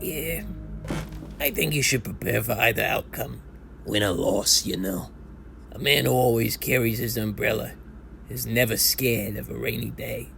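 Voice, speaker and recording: A man's voice: mid-range voice, measured pace, gravelly, a bit bright, faint music behind. An actor playing a hopeless, overthinking sports fan from New York in an audio drama, a man who rambles neurotically and philosophizes. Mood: resigned. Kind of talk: audio drama monologue and dialogue.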